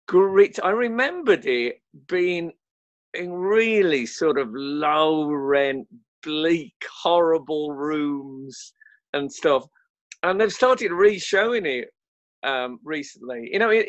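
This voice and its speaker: A man's voice: fairly high pitch, no room sound, highs cut off. A man, an actor chatting in a casual interview in a wry, hesitant way. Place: home, remote lockdown recording.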